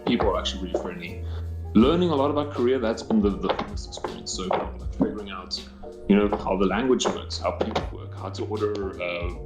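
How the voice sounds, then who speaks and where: A young man from South Africa with a South African accent, calling in to a radio show and chatting in a relaxed, talkative way. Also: on the low side, fairly quick, echoey room, faint music behind. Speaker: caller. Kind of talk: casual call-in chat. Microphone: voice chat call.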